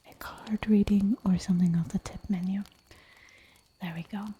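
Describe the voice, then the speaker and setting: A woman whispering softly for an ASMR livestream, chatting in a giggly, rambling way while she draws at home. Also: medium pitch, steady tone, moderate pace, husky. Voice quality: soft whisper throughout. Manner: giggly, rambling, playful asides.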